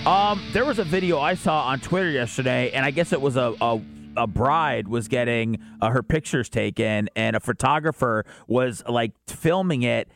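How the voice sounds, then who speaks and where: A man's voice: mid-range voice, normal speed, music in the background. An American man co-hosting a talk show, chatting casually and trading banter. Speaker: radio show co-host.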